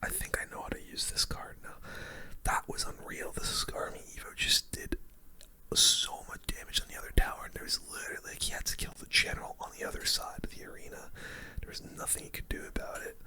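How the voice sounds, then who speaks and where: A young man delivers ASMR gaming commentary entirely in a breathy whisper, close to the mic. The sound is crisp and bright, with no real voicing. His delivery is casual and slangy as he reacts to the play. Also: a little fast. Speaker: gaming video host.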